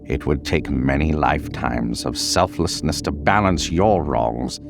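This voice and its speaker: A man playing a cryptic, disdainful Count who delivers hard truths in a grave, measured, condescending way in an audio story. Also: very deep voice, vocal fry, music in the background, heavily acted. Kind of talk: dramatic audio fiction.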